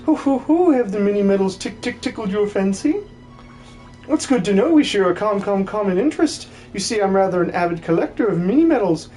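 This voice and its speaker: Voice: medium pitch, lively intonation, a little fast, mellow, soft background music, close to an everyday voice. A performer voicing a jolly, fussy old hermit who stutters by repeating words in threes, for a video game dub. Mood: jolly, excitable. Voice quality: stuttering triple repetition of words. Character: excitable old hermit with a repeating verbal tic.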